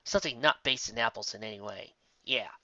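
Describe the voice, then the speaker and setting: An amateur reader narrating a fan fiction story in an animated way, close to the microphone. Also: medium pitch, a little fast, dry recording. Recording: slightly dull, close dry recording.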